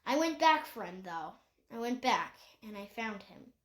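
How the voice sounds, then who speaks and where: A woman's voice: on the high side, very animated, measured pace, roomy sound. A woman reading aloud voices a troubled boy who speaks in a subdued, reluctant way. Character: troubled boy, ashamed and withdrawn.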